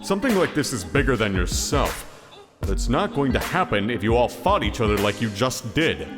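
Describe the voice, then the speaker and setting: A man voicing an earnest, hesitant, self-doubting giant hand who mentors heroes in an animated comedy. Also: mid-range voice, moderate pace, close to an everyday voice. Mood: earnest, encouraging. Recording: long reverb, music behind.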